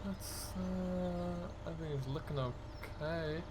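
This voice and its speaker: A man's voice: fairly high pitch, slow, noisy background. A man giving casual, chatty commentary as host of a role-play gaming video, thinking aloud in an easygoing way.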